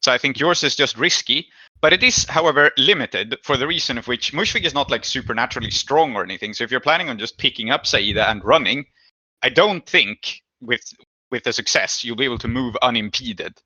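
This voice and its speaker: A man acting as game master, explaining rulings and narrating a tabletop game in a measured, deliberating way. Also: mid-range voice, lively intonation, sharp tone, no room sound, muffled recording.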